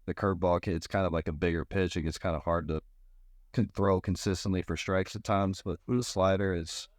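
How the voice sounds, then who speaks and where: A young man, a baseball pitcher, answering questions casually as a podcast guest. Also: fairly even intonation, fairly quick, dry recording, clean studio sound. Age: young adult. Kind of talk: casual interview chat.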